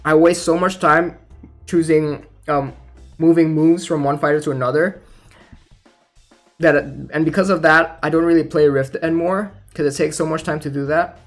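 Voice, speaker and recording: A young man giving casual, informal game news commentary as a YouTuber, thinking out loud in a hesitant way. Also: on the high side, fairly even intonation, normal speed. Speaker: gaming YouTuber. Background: music.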